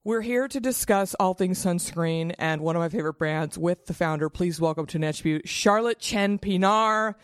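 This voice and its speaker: A woman's voice: fairly low pitch, normal speed, hardly any pauses, no room sound, studio quality. A woman in her thirties hosting a comedic beauty podcast in a bubbly, theatrical, playful way over a video call. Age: 30s. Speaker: podcast host.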